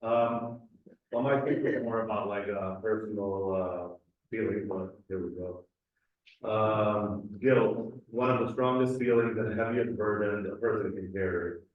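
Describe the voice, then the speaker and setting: A young adult man from Cleveland reading a personal essay aloud at a community gathering, earnest and measured. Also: fairly low pitch, fairly even intonation. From Cleveland, USA. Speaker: community speaker. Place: community event hall. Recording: echoey hall, distant and dull mic.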